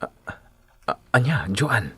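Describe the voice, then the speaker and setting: A man's voice: mid-range voice, sing-song, slow, fairly bright tone, breathy edge, no echo, heavily acted. An actor playing an earnest, hesitant young man in a Filipino radio drama, speaking with an Ilocano accent. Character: earnest, hesitant young man shy about courting his friend. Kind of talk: Philippine radio drama acting.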